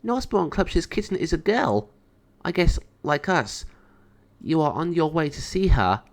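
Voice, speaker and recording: Young female storybook cat, affectionate and cheery, raised pitch, very animated man acting it. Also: moderate pace. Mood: cheerful. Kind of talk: children's storybook read-aloud.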